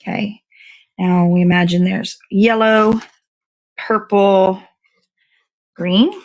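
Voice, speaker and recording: A woman's voice: mid-range voice, steady tone, slow pace, low-quality recording. A woman hosting a casual hands-on cake decorating demo, talking to viewers and half to herself in short fragments as she works. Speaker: show host.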